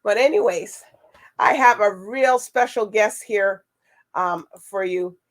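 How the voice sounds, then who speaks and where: A woman hosts a casual talk show. Her voice sits at an ordinary middle pitch. She is chatty and wordy as she addresses viewers and guests. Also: takes it slowly. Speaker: show host.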